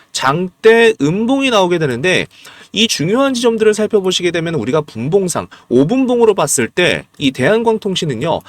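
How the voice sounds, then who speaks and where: Hoarse, animated male stock analyst. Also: high-pitched, no room sound. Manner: emphatic, rhetorical questions to viewers. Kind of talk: stock chart analysis talk.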